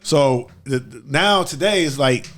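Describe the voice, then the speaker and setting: Middle aged guy with a medium pitched voice, talking reflectively in a casual discussion. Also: lively intonation, unhurried, a bit bright.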